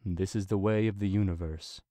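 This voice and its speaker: Casting: male reader as ancient divine stallion king for story drama; low, measured, formal, patient and reassuring. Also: fairly even intonation, mellow, no echo, clean studio sound, close to an everyday voice. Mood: solemn. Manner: slow, deliberate, formal, reassuring. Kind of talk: dramatic fan fiction reading.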